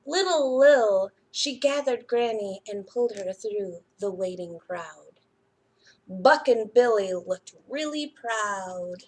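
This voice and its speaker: A woman hosting a children's story time, reading and explaining crafts in an expressive, sing-song manner for young listeners. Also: mid-range voice, slow pace, husky, poor audio. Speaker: library story time host. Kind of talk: children's picture book reading and craft demonstration.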